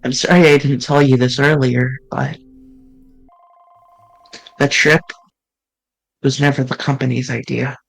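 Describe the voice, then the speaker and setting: A man streaming, reading visual novel dialogue aloud in an earnest, halting way with small restarts. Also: mid-range voice, flat delivery, vocal fry, dry recording, poor audio.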